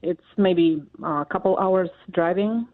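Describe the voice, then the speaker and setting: A woman in her forties from Russia, speaking English with a Russian accent as an interview guest, halting and full of fillers. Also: fairly low pitch. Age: 40s. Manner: halting, many fillers and pauses. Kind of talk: interview answers. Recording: tinny phone line.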